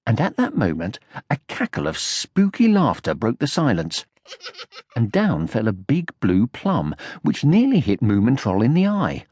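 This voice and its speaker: A man narrating a children's audiobook from a script in an expressive storytelling style. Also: mid-range voice, measured pace, husky, dry recording.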